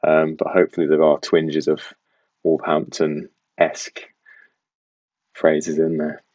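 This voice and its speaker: A man's voice: extremely low pitch, monotone, unhurried, darkish tone, no echo, dull audio. A young man who grew up in Belgium, with an international English accent carrying faint West Midlands traces, chatting casually and self-deprecatingly as a podcast guest.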